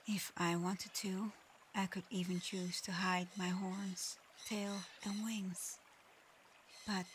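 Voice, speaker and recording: A woman plays a proud dragon girl in human form, guarded and easily flustered. She speaks formally and slowly, in a narrow, even line that can catch into shy stammers. It is an intimate ASMR roleplay, with clear ambient noise behind the voice. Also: mid-range voice, natural voice. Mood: proud, curious. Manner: halting, stammering when flustered, otherwise measured and formal. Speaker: audio roleplay performer.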